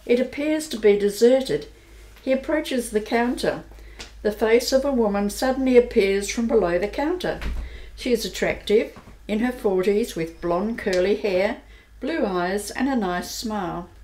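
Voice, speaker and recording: A woman's voice: mid-range voice, unhurried, darkish tone, low-quality recording. Woman narrating a radio play.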